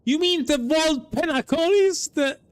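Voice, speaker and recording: A man's voice: extremely high pitch, moderate pace. A man giving casual, reactive game commentary, rambling and hesitant as he thinks aloud. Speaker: gaming streamer.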